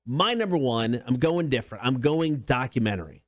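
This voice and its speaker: A man's voice: mid-range voice, animated, normal speed, no echo. A man co-hosting a podcast, telling stories casually with slangy, emphatic enthusiasm. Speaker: podcast co-host. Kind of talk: casual chat and storytelling.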